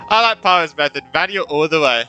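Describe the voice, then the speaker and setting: Gamer chatting over a playthrough, bright forward voice, mutters and repeats stuff, faint music. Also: medium pitch, lively intonation, fast talker, poor audio. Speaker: gaming streamer. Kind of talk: casual playthrough commentary. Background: game music.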